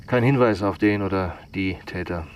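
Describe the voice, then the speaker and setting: A low male voice from an actor plays a police investigator in a radio drama, with night ambience behind. He is measured and dryly matter-of-fact, with a courteous, insinuating edge. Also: a little hiss or hum, lightly acted. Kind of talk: naturalistic radio drama dialogue.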